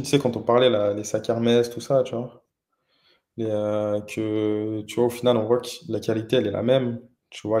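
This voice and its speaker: A man's voice: medium pitch, a little mellow. A young man chatting casually in an interview about his business, hesitant, with many fillers and restarts. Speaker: interviewed entrepreneur.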